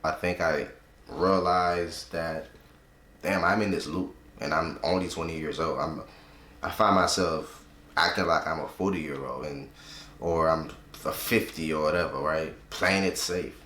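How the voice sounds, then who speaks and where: A man's voice: a bass voice, steady tone, a little slow, bright, forceful tone, roomy sound. A man in his twenties talking loosely and reflectively in a casual vlog, often tagging his points with "right?". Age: 20s. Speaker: vlogger. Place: room he uses as a home studio.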